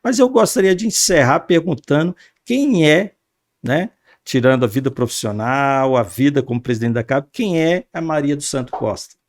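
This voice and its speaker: Earnest man hosting, gravelly voice. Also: a little high, expressive, moderate pace, no echo. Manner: earnest, many fillers and "né". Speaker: podcast host. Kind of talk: interview podcast, formal address.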